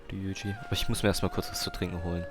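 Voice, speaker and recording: A German man chats casually while gaming. His voice is very low and his words come quickly, with game music clearly behind him. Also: steady tone. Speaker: video game player. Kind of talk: casual gameplay chat.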